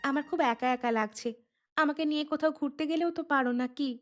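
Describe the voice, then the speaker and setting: A gentle young bride acted by a woman in a family cartoon. She speaks in a high voice with a polite, homesick air. Music plays clearly underneath. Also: fairly even intonation, fast talker, highs cut off, natural voice. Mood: downcast. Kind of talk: cartoon dialogue. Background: background music.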